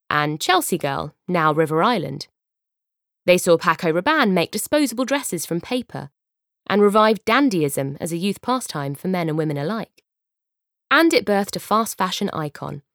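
A young British woman narrates a scripted audiobook. Her voice sits slightly low but moves widely and expressively, with wry asides. The sound is close and very dry, as in a studio booth. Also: no echo. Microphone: close studio microphone.

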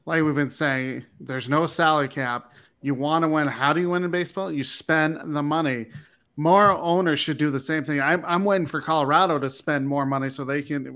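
A man with a fairly high voice speaks as a panelist on a sports talk show. He states his view directly and matter-of-factly. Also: a bit bright.

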